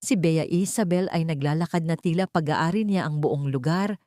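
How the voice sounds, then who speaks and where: A woman reading a scripted dramatic love story as narrator, in a measured, solemn manner. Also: low-pitched, dark tone, no room sound, clean studio sound.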